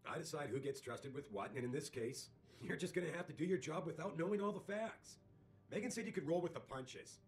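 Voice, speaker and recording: An actor playing an authoritative, evasive company CEO in a video game, persuasive and in command. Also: male voice, on the high side, animated, fairly quick, faint music behind. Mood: firm, guarded.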